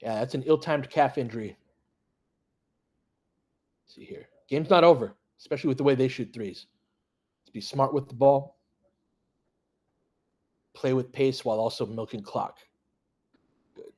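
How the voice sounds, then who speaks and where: A man's voice: mid-range voice, unhurried, long pauses, darkish tone. A man hosting a basketball live stream, mixing casual play-by-play with conversational, opinionated, sweary fan commentary. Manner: partisan fan reacting to plays and chat. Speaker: former high school basketball coach.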